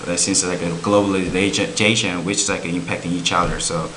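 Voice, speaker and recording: A young man, a student giving a halting interview answer full of fillers. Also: sits low, steady tone, a bit bright, poor audio. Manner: halting, heavy use of "like". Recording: faint hiss.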